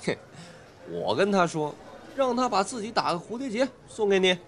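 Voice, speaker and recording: Man playing cheeky high-pitched male roommate. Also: expressive, normal speed. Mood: teasing. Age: young adult. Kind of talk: radio drama acting.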